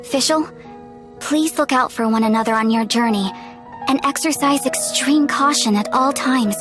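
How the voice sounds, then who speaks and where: A woman playing a professional guild receptionist in a video game, composed and formal, with measured, instructive speech. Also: high-pitched, over music. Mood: cautioning.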